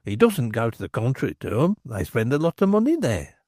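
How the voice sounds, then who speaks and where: A man voicing a sly male innkeeper who flatters and teases in a smooth-talking way. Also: mid-range voice, a little fast, dry recording, clean studio sound. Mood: flattering.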